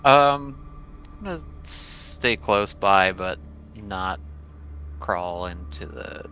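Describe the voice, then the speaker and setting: A man playing a tabletop roleplaying game, improvising in a hesitant, deliberate way. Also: low voice, lively intonation, bright, forceful tone. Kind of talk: improvised in-character play and table talk. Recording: muffled, faint noise.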